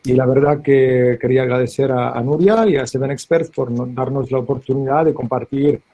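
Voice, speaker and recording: A man from France speaking Spanish with a French accent, introducing himself as a company founder on a webinar panel, polite and a little halting. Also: mid-range voice, steady tone, mellow.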